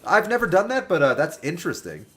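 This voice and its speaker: A man in his twenties chatting casually with viewers while livestreaming a video game, joking and animated. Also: a little high, fast. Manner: animated, joking, talks to chat by name. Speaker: game streamer.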